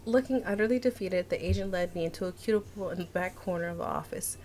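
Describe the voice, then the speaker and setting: A woman's voice: on the low side, fast, darkish tone, low-quality recording. A young woman chatting casually and reading a visual novel aloud as a streamer. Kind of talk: casual let's-play chat and dramatic read-aloud narration.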